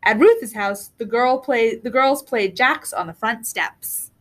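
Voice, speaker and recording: High-voiced woman reading a storybook. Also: slow pace. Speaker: reader of a children's book. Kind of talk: read-aloud storybook narration.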